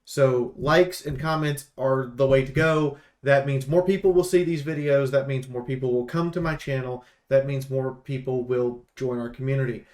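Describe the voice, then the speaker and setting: A man's voice: mid-range voice, steady tone, moderate pace, few pauses, darkish tone. A man giving casual, opinionated game preview commentary in an emphatic, rambling, sweary way. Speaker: YouTube host and game reviewer.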